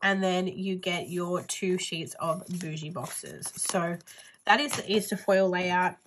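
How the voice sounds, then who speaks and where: A woman's voice: on the low side, slow, a bit bright, a little hiss or hum, poor audio. A woman with a stuffy nose and a nasal voice, chatting casually through a planner haul video while she handles her items. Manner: chatty, rambling with "like" fillers. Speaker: planner vlogger.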